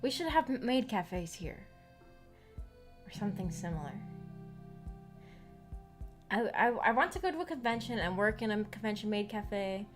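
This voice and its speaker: American girl streamer just chatting, rambly n kinda giggly, stop-start, soft music in the background. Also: mid-range voice, lively intonation, normal speed, long pauses. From United States. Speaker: streamer, visual novel book club host. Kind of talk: casual livestream chat.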